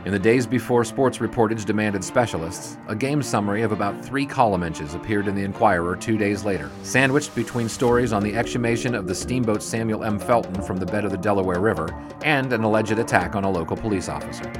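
Male narrator, low pitch, measured, formal, scripted history storytelling, music bed. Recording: music under the voice.

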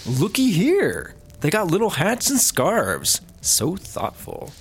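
An actor playing a droll, easygoing, slow-witted male sidekick in a comedy audio drama, guileless and deadpan. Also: medium pitch, breathy edge, full character voice. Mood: amused, easygoing.

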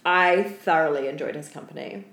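A woman chatting casually as a podcast co-host, telling stories in an animated, slangy way and often laughing. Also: sits low, moderate pace. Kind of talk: casual chat, storytelling. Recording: roomy, echoey room.

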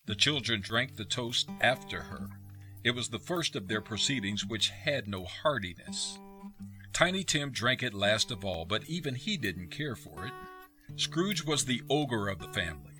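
A man narrating a radio play in a measured, expressive, literary storytelling style. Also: fairly low pitch, music in the background.

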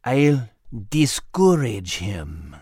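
Man voicing a sneering villain in the dark. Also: mid-range voice, sing-song, very slow, slightly rough, dry recording, clean studio sound, full character voice. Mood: cold menace. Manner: sneering, mocking, drawn out. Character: sneering, menacing villain voice from the darkness.

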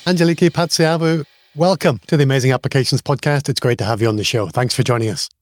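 A man's voice: medium pitch, quick pace, over music. A man hosting a tech podcast, reading a friendly scripted intro and then asking conversational questions. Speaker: podcast host. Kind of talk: podcast interview.

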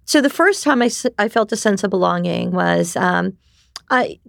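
Gravelly-voiced woman telling her story. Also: medium pitch, moderate pace, no echo, clean studio sound. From New York. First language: Japanese. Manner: animated, frequent restarts and "right?" tags. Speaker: podcast guest. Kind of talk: candid conversational storytelling.

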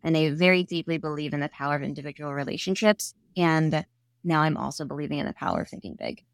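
A woman from Staten Island, telling stories casually as a podcast guest, animated and self-deprecating. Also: deep voice, fast, vocal fry, no room sound. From Staten Island, New York City, United States. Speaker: podcast guest, speaker on inclusivity. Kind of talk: casual conversational storytelling.

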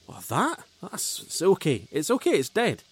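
A man acting a frightened male crew member woken from stasis, halting and disbelieving. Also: sits high, huge pitch swings, slightly rough, no echo, full character voice. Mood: anxious. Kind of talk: audio drama acting.

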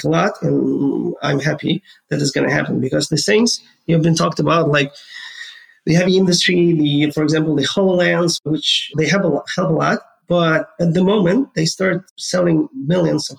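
A man's voice: on the high side, creaky, poor audio. A man from Ukraine with a Ukrainian accent, answering interview questions earnestly and with enthusiasm. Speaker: guest, gaming entrepreneur.

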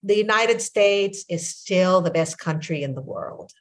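Low-voiced Filipino woman in her sixties. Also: lively intonation, measured pace. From Philippines. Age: 60s. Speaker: podcast host. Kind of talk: casual conversation.

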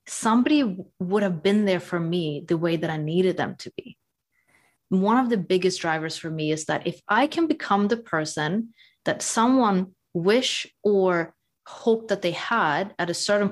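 Woman, from Sweden, interview guest, lower voice, reflective, measured. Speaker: podcast guest. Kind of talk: conversational interview.

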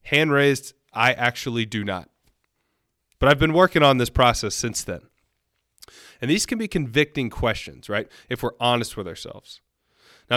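Bright-voiced man, nasal with a cold. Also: medium pitch, clean tone, no room sound, studio quality. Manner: upbeat, earnest, encouraging. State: has a cold, a bit nasal. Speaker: podcast host. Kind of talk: solo motivational podcast talk.

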